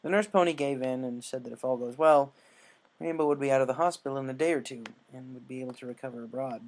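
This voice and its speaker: Male narrator, mid pitch, scripted storytelling, fan fiction reading. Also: quick pace.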